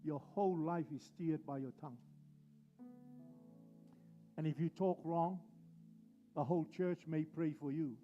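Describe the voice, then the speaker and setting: Slow, emphatic male preacher. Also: on the high side, warm tone, dull audio, faint music behind. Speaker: pastor. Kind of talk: sermon.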